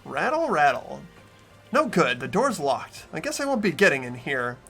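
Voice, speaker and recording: A man's voice: on the high side, big pitch swings, normal speed, gravelly, a bit bright, breathy edge, faint music behind. A young man hosting a game playthrough, reading story narration aloud and chatting casually. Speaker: host and lead reader of a game playthrough. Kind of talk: voiced game narration mixed with casual chat.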